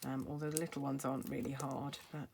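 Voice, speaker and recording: A middle-aged woman with a slightly croaky voice, chatting casually as she demonstrates card making at her craft table. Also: a bass voice, little pitch movement, quick pace, heavy background noise. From United Kingdom. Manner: chatty, thinking aloud while working.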